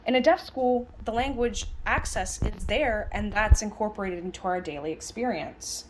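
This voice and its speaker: A woman voicing a lecture as an interpreter, conversational, speaking in halting phrases with stress on key words. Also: mid-range voice, background noise. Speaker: sign language interpreter voicing a signed lecture.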